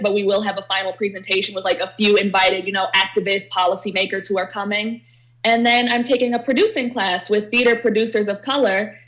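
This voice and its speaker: Woman panelist with a medium-pitched voice. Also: a bit bright, rough recording. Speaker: panel guest, theater artist. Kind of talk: casual conversational answer.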